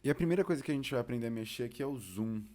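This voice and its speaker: A man with a Brazilian accent giving an informal software tutorial, explaining casually as an instructor. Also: mid-range voice, racing. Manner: casual, explanatory, uses diminutives.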